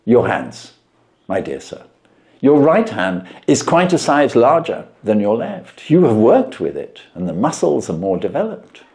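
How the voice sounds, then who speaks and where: Casting: male reader as brilliant gentleman sleuth for a storybook. Precise, self-assured, dryly amused, swinging intonation. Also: medium pitch, wildly swooping pitch, measured pace, pitched above the performer's own voice. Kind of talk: storybook character voice.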